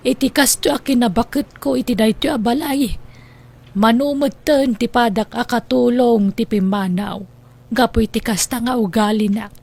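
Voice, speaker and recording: High-pitched actress as dutiful younger sister. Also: female voice, a little mellow. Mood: grumbling. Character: dutiful younger sister, affectionate toward brother and mother. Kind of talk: Ilocano radio drama acting.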